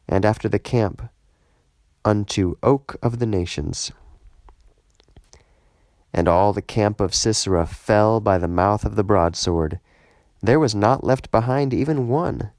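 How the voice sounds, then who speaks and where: Slow, deliberate man reading scripture. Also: lowish voice, darkish tone, no echo. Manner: measured, deliberate, short phrases with pauses. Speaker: scripture reader, audiobook narrator. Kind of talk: scripted narration, solemn Bible reading.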